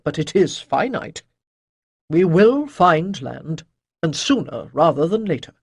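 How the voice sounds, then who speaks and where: A narrator doing a wise old priest, lively and husky. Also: medium pitch, dry recording, clean studio sound, full character voice. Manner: measured, declamatory, pausing for weight. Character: wise old male priest, calm gently chiding teacher.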